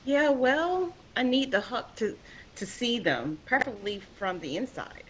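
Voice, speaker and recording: A woman's voice: fairly high pitch, lively intonation, measured pace, dull audio. A woman playing a tough, cocky young female leader in a drama table read, confident and wry. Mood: determined. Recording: faint noise and hiss.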